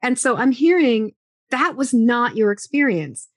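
A woman's voice: on the high side, lively intonation, a little slow, no room sound, studio quality. A woman hosting a podcast interview, chatty and enthusiastic, rambling with lots of fillers. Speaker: podcast host. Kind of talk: casual interview chat.

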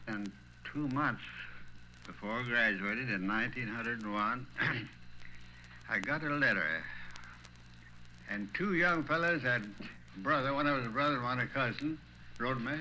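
A man's voice: mid-range voice, a little slow, long pauses, bright, forceful tone, a little hiss or hum, rough recording. A man in his nineties with a Southern Tennessee accent, reminiscing about his own life in a plain oral-history style. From Tennessee, United States. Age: 90s. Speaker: retired small-town banker telling his life story.

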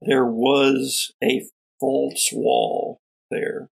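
Male game master, unhurried and heavily creaky, husky, descriptive sci-fi narration with conversational asides. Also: medium pitch, big pitch swings.

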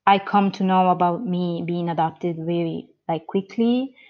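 Slow, hesitant Italian-accented woman. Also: on the low side, dull audio. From born in the US, grew up in Italy. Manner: hesitant, many ums and restarts. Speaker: guest. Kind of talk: interview answers.

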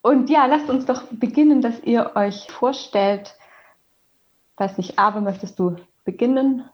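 A woman hosting a podcast on mindfulness, welcoming guests and asking calm, measured questions. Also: fairly high pitch, dull audio. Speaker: podcast host.

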